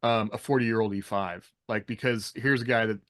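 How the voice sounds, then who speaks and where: A man's voice: fairly low pitch, fairly even intonation, normal speed, a bit bright, no echo, clean studio sound. An American man co-hosting a podcast in a casual, rambling, anecdotal way, peppering his talk with "like". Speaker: podcast co-host. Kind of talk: casual chat about military life and fiction.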